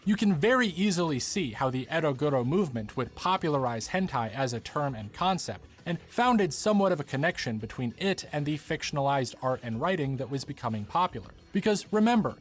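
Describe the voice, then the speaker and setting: A man's voice: a little high, sing-song, a little fast, few pauses. A man delivering scripted documentary narration as the narrator of a video essay.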